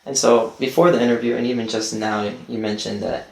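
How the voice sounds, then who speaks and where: Encouraging interviewer, gravelly tone, roomy echo. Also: mid-range voice, fairly even intonation, a little fast, a little mellow. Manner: encouraging, brief affirmations. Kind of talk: interview questions.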